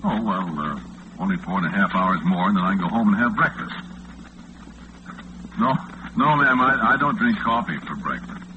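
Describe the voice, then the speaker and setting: An American actor playing a lonesome, affable late-night disc jockey in 1940s radio style, chatting in a folksy, hesitant way. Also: male voice, on the low side, lively intonation, normal speed, pauses often, close to an everyday voice. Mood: wry, chatty. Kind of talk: 1940s radio acting, one-sided phone chatter. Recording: muffled, noisy 1940s radio recording, phone-like band.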